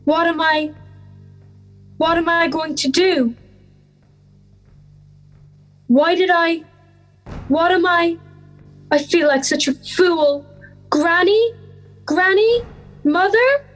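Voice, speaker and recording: Slow, halting frightened schoolgirl, acted by a young woman. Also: very high-pitched, a bit bright, faint music behind, exaggerated voice. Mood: panicked. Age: young adult. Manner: halting, introspective, short phrases. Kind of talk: audio drama monologue.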